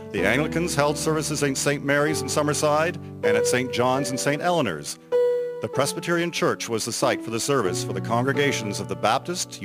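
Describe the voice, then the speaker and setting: Casting: male narrator, mid-pitched, formal and measured, reading script over plucked guitar. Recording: music behind voice. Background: plucked guitar music.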